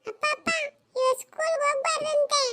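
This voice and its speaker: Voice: very high-pitched, steady tone, brisk, sharp tone, faint music behind. A performer voicing a silly, gullible young schoolboy with a childish, earnest way of talking in a comedy cartoon. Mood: pleading.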